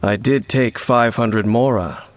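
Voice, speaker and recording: An actor playing a calm, mysterious wandering swordsman in a video game, speaking in a measured, formal, grave way. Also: mid-range voice, natural voice. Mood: solemn. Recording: game music loud behind.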